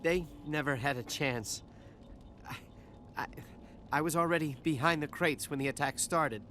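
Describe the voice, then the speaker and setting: A man's voice: a little high, normal speed, sharp tone, a little hiss or hum. A man playing a cowardly, frightened dockworker who has survived an attack in a video game, stammering, nervous and pleading. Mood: sheepish. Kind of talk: cinematic sci-fi game dialogue. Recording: music under the voice.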